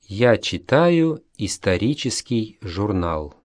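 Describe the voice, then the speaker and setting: Tsubasa, a polite young man in a course dialogue. He speaks slowly and carefully, letting his pitch rise and fall a lot. The sound is dry and close. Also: big pitch swings, no room sound. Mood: plain. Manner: carefully enunciated, deliberate. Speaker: dialogue reader in a language course. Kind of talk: slow, clear textbook dialogue reading.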